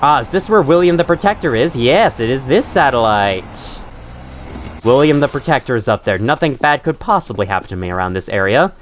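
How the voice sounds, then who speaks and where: A young man talks through a game in loose, rambling commentary, swearing now and then. His nose sounds stuffy, and his pitch swings widely up and down as he chats. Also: sing-song, fairly quick, a little hiss or hum. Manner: rambling, chatty, swears casually. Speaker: gaming channel host. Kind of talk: casual let's-play commentary.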